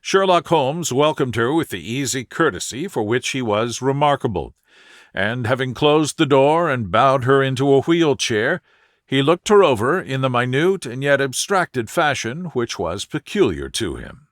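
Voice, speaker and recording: A man reading a scripted sleep story as an audiobook narrator, in a soothing, measured manner. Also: medium pitch, animated, no echo, clean studio sound.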